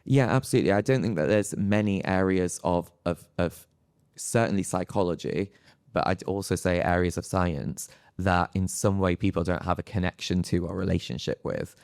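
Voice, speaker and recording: A man's voice: deep voice, moderate pace, gravelly, darkish tone, clear, resonant tone, no room sound, studio quality. A man speaking as an academic panelist in a live discussion, phrasing his thoughts in a hesitant, reflective way. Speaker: panelist, psychology lecturer.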